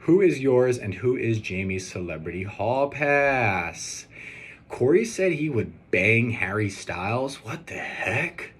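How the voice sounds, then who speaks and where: A man's voice: medium pitch, slow, slightly rough. A young man recording a casual personal video message, talking in a hesitant, rambling and earnest way. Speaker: groom-to-be answering questions on video. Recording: steady background noise.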